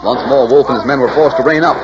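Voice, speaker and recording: A man's voice: medium pitch, quick pace, background noise. A man narrating a 1940s radio drama in a dramatic, stirring scripted style. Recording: dull 1940s radio recording.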